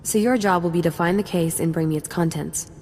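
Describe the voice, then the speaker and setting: A woman's voice: lowish voice, brisk, darkish tone, big-room sound, soft background music, natural voice. A woman playing a confident, wry young special forces sergeant in a video game, casual and playful. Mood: breezy briefing. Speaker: soldier giving mission briefing. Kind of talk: scripted game dialogue.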